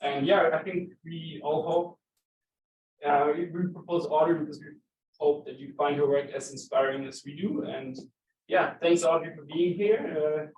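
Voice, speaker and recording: A man's voice: fairly high pitch, moderate pace, lo-fi sound. A man introducing a guest speaker at a talk, hesitant, with many fillers. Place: lecture room. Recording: echoey room, distant mic, faint noise.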